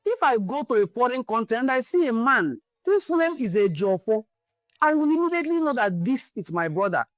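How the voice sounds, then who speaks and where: A woman's voice: fairly high pitch, sing-song, a little fast, no room sound. Rallying Nigerian woman, dry close sound. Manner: rallying, repetitive exhortations. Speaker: cultural channel host. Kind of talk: impassioned cultural address.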